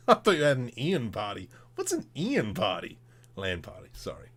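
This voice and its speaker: A man's voice: mid-range voice, wildly swooping pitch, moderate pace, fairly bright tone. A man hosting a casual livestream, thinking aloud as he works, with informal and playful muttering to himself. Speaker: livestream host demonstrating 3D modelling.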